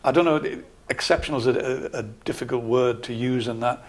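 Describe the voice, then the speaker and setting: A man in his sixties with a Geordie accent, a local councillor calmly answering interview questions in a measured, matter-of-fact way. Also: medium pitch. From Scotland, raised in Newcastle area. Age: 60s.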